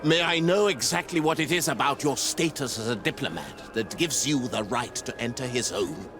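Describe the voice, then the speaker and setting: An actor playing a suspicious, zealous priest of an inquisitorial order in a video game, haughty and accusatory. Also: male voice, medium pitch, moderate pace, music in the background, natural voice.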